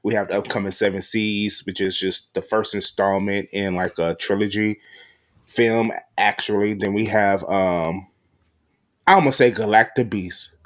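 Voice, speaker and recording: A man's voice: on the low side, steady tone, unhurried. A man hosting a podcast interview, chatting casually with slangy, informal phrasing. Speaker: podcast host.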